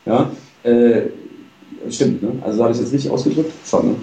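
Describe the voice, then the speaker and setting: A man's voice: medium pitch, a little fast, mellow, far from the mic. A tired man hosting a casual chat show, rambling with many fillers and stumbles. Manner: rambling, filler-heavy, admits stuttering. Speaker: show host. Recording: roomy, from off mic.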